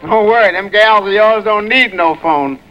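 A man's voice: high voice, moderate pace, pushed and bright. A man playing a wry, skeptical tavern helper in a 1940s radio comedy, dryly needling. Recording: dull, noisy old radio recording.

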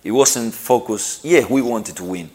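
A middle-aged man from Argentina answering interview questions, halting and repeating himself, with lots of "you know". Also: mid-range voice, a little mellow, husky. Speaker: interviewed footballer.

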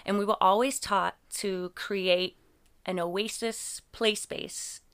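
A clear, smooth-spoken woman with an American accent faintly touched by English, the kind of guest heard chatting on a relaxed creative podcast. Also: medium pitch, takes it slowly. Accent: mostly American with occasional English traces. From England. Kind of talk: casual conversational interview.

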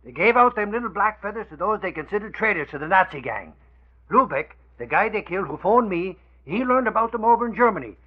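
An actor playing a blustering, boastful, dim-witted tough newsman with a husky voice in a 1940s radio drama. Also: high voice, lively intonation, fast. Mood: excited, sheepish. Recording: muffled old radio recording.

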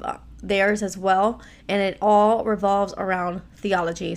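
Raspy American woman hosting a devotional. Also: medium pitch. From Miami, Florida, United States. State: hoarse from allergies. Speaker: devotional podcast host.

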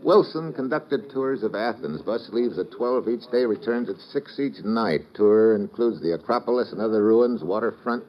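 Man playing a low-voiced, wry American investigator. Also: lively intonation, moderate pace, few pauses, natural voice. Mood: intent. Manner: wry, slangy, hard-boiled. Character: wry, dogged male American investigator narrating his case. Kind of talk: old-time radio drama acting and narration. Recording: muffled, hissy old radio recording.

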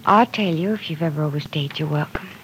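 A soft-spoken earnest young woman. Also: low-pitched, fast talker, slight background noise, poor audio. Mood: gentle. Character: earnest, gentle young woman torn in love. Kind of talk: 1940s radio acting.

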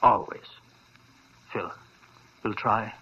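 A worried, hesitant old doctor. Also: male voice, on the low side, fairly even intonation, extremely slow, pushed and bright, slight background noise, exaggerated voice. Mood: earnest worried. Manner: earnest and hesitant, full of uh's. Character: worried, earnest male family doctor, older. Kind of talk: 1940s radio acting. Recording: dull old radio recording.

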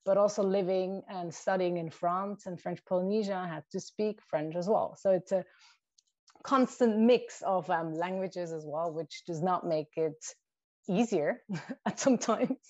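A woman's voice: on the low side, unhurried, a little mellow, dry recording. A woman from Switzerland whose first language is Swiss German, a shark scientist answering interview questions thoughtfully, listing points with small restarts. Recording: dull lo-fi dry sound.

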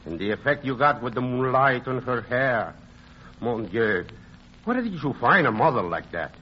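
An actor playing a fond, teasing old European art dealer in an old-time radio drama, speaking expressively and haltingly. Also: male voice, medium pitch, sing-song, lightly acted. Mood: admiring. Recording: muffled, hissy old radio recording.

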